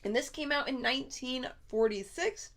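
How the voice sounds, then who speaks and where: A woman's voice: high-pitched, moderate pace, a bit bright. Stuffy-nosed woman co-hosting a chat. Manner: chatty, reads out viewer comments. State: stuffy nose. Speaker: co-host. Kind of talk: casual live-stream chat.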